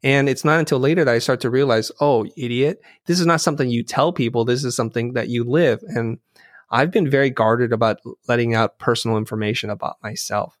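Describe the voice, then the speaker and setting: A man's voice: medium pitch, fairly quick, dry recording. Earnest middle-aged man, dry close sound. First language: Vietnamese. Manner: thoughtful, earnest, with frequent restarts. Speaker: interview guest, designer and teacher. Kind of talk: reflective storytelling in conversation.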